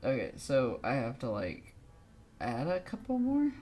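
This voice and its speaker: A woman's voice: rumbling low, huge pitch swings, measured pace, dark tone, faint music behind. A woman giving casual, reactive live commentary as she streams a game, talking informally.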